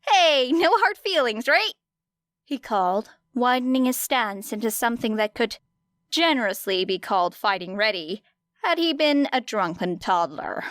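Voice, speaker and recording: A woman reading an audiobook story dramatically, with deliberate, expressive phrasing and weighty pauses. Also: medium pitch, no room sound, studio quality. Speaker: audiobook narrator. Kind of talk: dramatic scripted fiction narration.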